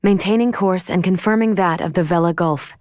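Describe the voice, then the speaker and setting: Game casting: actress as a logical female android ship's officer, lowish voice, formal, clinical and measured, recorded dry. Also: a little mellow, dry recording, close to an everyday voice. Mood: calm, businesslike. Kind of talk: scripted video game voice acting.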